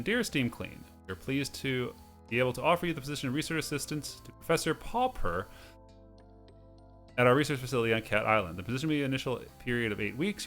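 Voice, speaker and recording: Male let's play host, middle pitch, reads game text aloud with the odd stumble, music behind. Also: fairly bright tone. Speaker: host of a video game playthrough series.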